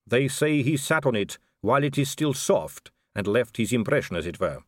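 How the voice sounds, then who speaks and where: A man voicing an aristocratic lady vampire in an audiobook, smooth, measured, knowing and condescending. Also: mid-range voice, big pitch swings, dry recording, clean studio sound, voice pushed up. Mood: knowing, weary. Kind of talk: audiobook character dialogue.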